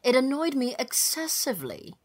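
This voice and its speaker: A woman's voice: high voice, big pitch swings, normal speed, voice pushed up. A woman voicing a haughty, affected society matron with a pompous, insistent manner in an audiobook. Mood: haughty, indignant. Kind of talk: audiobook character dialogue.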